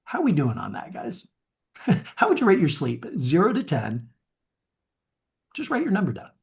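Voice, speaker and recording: A man's voice: fairly high pitch, huge pitch swings, normal speed, creaky. A man coaching in a webinar, upbeat, asking viewers to rate themselves. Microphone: webinar mic.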